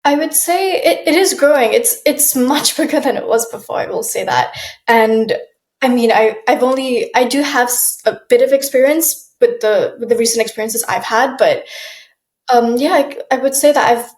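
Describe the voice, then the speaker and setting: A young woman from Malaysia talks casually as an interview guest, her voice a little high. She restarts her sentences often and laughs softly between them. The sound is roomy, with an echo around her. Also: moderate pace. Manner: chatty, with frequent restarts and soft laughs. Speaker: guest, aspiring model.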